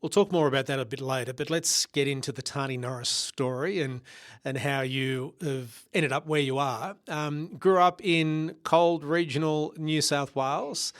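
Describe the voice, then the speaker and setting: Man hosting a podcast, slightly high. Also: moderate pace. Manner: relaxed, conversational questions. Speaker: podcast host. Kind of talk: podcast interview.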